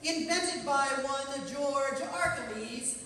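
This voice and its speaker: A woman's voice: sits high, slow, a bit bright. A woman playing a stern military court officer in a radio drama, formal and declamatory. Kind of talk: stage radio drama acting. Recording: echoey hall from far off, noisy.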